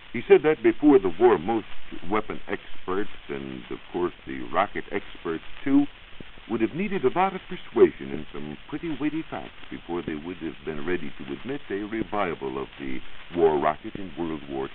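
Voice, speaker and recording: Deep voiced american guy doing old radio science talk, real expressive, thin hissy sound. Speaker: science reporter. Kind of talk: scripted 1940s radio explaining. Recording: tinny, lightly hissy 1940s radio recording.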